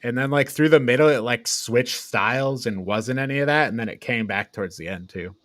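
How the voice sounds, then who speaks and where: A man's voice: medium pitch, a little fast, a bit bright, no echo. Man, mid-range voice, casual review chat, opinionated, exasperated, dry recording. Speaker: podcast co-host reviewing films.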